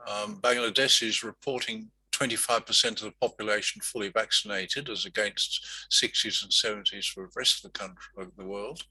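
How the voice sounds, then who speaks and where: A man's voice: on the low side, fairly even intonation, normal speed, few pauses, sharp tone, breathy edge, dry recording, poor audio. A man hosting an online meeting, walking through statistics and then interviewing a guest, with many fillers and restarts. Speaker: meeting host.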